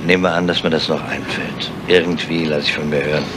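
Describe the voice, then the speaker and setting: An actor playing a gruff, sardonic, weary police inspector in a 1970s crime radio play. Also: male voice, very deep voice, fairly even intonation, quick pace. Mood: dry, matter-of-fact. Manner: gruff, dry. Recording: noisy ambience behind the voice.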